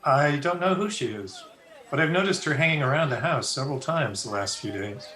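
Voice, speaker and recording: A man playing a kindly, earnest young gentleman in an old-time radio drama. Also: mid-range voice, animated, brisk. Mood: friendly, curious. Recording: roomy home video-call audio.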